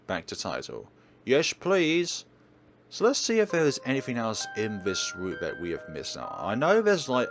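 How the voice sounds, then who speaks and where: An animated man hosts a let's play with a fairly low voice that swings widely in pitch. He muses aloud between passages of visual novel text that he reads out. Music plays clearly behind him.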